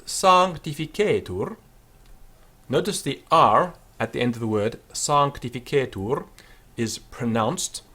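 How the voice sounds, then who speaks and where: A man giving an unscripted pronunciation lesson, explaining with fillers and then slowly sounding out words to demonstrate them. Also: mid-range voice, lively intonation, pushed and bright, breathy edge. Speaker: Latin teacher.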